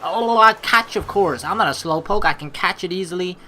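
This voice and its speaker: A young man hosting a let's play, reading the story aloud and adding expressive, rambling commentary. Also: high voice, moderate pace, slight background noise.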